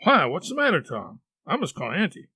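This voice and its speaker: A man's voice: on the high side, huge pitch swings, a little fast, pushed and bright, husky, no echo, voice pushed up, full character voice. A man voicing an alarmed, pleading young boy, earnest and gullible, in an audiobook reading.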